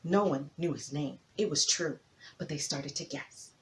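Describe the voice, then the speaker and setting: A woman narrating a folktale as a storyteller, with theatrical emphasis and dramatic pauses. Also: sits low, fairly bright tone.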